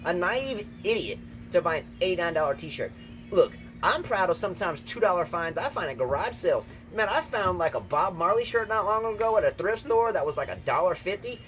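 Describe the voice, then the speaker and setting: A man co-hosting a casual tennis chat outdoors, emphatic and repetitive, talking excitedly. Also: sits high, fairly quick, soft background music. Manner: emphatic, repeats himself, excitable. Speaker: tennis channel co-host, coach. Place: outdoors, windy. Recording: noisy outdoor recording, wind.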